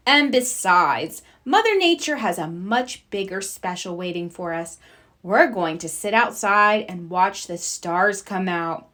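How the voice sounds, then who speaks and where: A woman's voice: mid-range voice, animated, measured pace, bright, forceful tone. A woman voicing a firm, no-nonsense mother bear in a children's storybook, in a matter-of-fact manner. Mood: firm, reassuring.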